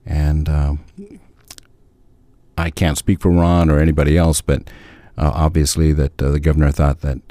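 A commissioner talks on a radio program in a measured, halting way. His voice is very low and warm. The sound is close and dry. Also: little pitch movement, no room sound. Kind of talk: radio interview guest.

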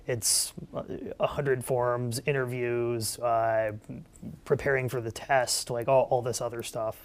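A man's voice: mid-range voice, flat delivery, measured pace, clean tone. A man on a panel discussion, speaking hesitantly with many fillers and repeated words.

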